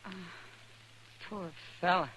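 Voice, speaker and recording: A woman's voice: slow pace, fairly bright tone, poor audio. An actress playing a worldly, sympathetic saloon woman in a 1950s western radio drama. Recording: 1950s radio recording, faint noise.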